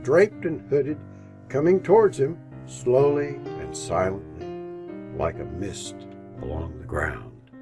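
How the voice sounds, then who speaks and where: A man narrating a scripted story in old-time radio style, with measured, theatrical phrasing. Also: mid-range voice. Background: instrumental music.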